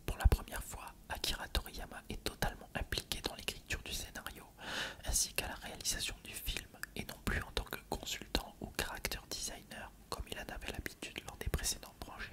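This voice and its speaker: Fully whispered delivery, unvoiced, ASMR narration, scripted encyclopedia reading. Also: brisk.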